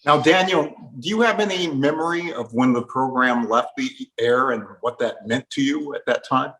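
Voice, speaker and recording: A man's voice: mid-range voice, moderate pace, a bit bright, rough recording. A man hosting an interview, posing questions and offering recollections in a conversational way.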